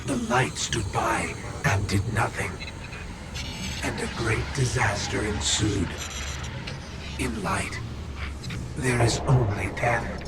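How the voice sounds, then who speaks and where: A man voicing a menacing, gravelly otherworldly being in a video game, delivering cryptic, portentous prophecy. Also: on the low side, takes it slowly, long pauses. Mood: menacing, doom-laden. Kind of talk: scripted cryptic game villain speech. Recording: distant voice under loud music.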